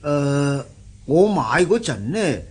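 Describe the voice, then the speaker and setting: Very husky, hearty older landowner. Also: male voice, a little high, expressive, slow pace, a little mellow, full character voice. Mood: genial, boastful. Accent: Hong Kong Cantonese. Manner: hearty, expansive, avuncular. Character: genial, boastful older landowner, a grandfather keen to sell. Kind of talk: Cantonese radio period drama acting.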